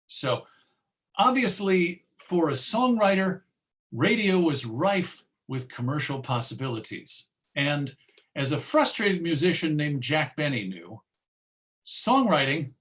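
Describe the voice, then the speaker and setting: An American man presenting an informal history lecture, conversational, with deliberate emphasis. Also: fairly high pitch, a bit bright.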